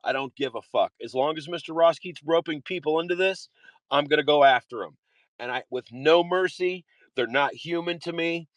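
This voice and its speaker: A man's voice: on the high side, moderate pace, dry recording, poor audio. A man delivering an impassioned monologue in a vehement, repetitive way, often swearing. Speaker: activist.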